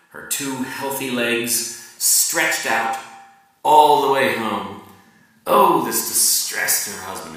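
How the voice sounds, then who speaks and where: Echoey, distant man giving a dramatic reading. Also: medium pitch. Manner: theatrical, deliberate pauses, swinging from hushed to emphatic. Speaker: reader performing a novel excerpt. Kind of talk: dramatic literary reading as a raconteur's monologue. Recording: echoey room, distant from the mic.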